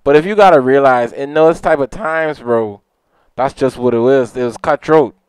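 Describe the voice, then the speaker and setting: Laid-back young man, smooth voice. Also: mid-range voice, moderate pace, darkish tone, no room sound. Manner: laid-back, rambling, laughs at himself. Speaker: podcast co-host. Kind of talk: casual chat. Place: living room, on a leather couch. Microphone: handheld mic with headphones.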